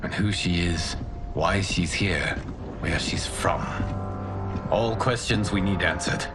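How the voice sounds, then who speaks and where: An actor playing a solemn, commanding god-like guardian in a video game cutscene, speaking in grave, formal declarations. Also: male voice, sits low, slow. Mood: resolute. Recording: loud cinematic music behind.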